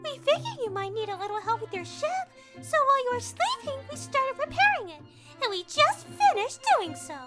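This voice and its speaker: An extremely high, swooping, childlike princess voice for a cartoon comic dub. She sounds dainty, prim and bossy, with sugary exclamations. Loud music runs behind her. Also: sing-song, brisk. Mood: sweetly cheerful.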